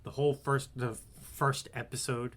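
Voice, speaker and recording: A man co-hosting a podcast, chatting casually. He is excitable and profane, and his loudness swings a lot. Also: mid-range voice, normal speed. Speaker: podcast co-host. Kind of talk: casual chat.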